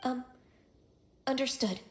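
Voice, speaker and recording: An actress playing a gentle, earnest young half-immortal woman in an anime game, speaking politely. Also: high-pitched, slow pace, echoey room, soft background music. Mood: hesitant, downcast. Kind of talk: anime video game voice acting.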